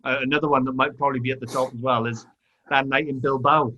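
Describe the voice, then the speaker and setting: A man's voice: mid-range voice, little pitch movement, fast talker, sharp tone, slight background noise. A Welsh man hosts a casual podcast conversation. He steers with friendly leading questions and slips in his own anecdotes. The audio is slightly dull and lo-fi. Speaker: podcast host.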